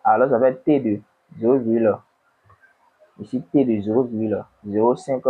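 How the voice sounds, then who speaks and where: A man with a cold, tutoring an econometrics exercise and dictating numbers slowly while asking himself questions. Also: medium pitch, fairly even intonation, husky, narrow, tinny audio. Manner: slow, dictating numbers, asks and answers himself. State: has a cold. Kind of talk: screen-recorded worked exercise.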